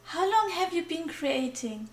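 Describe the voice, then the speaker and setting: Woman host reading questions, high voice, brisk, lots of room echo. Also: big pitch swings, a little hiss or hum. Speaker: channel host, interviewer. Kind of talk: scripted intro and read-out questions. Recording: echoey room.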